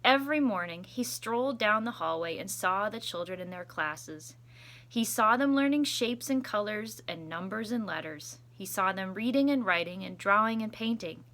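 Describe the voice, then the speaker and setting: A woman teacher reading a story aloud to children with expressive, deliberate phrasing. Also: mid-range voice.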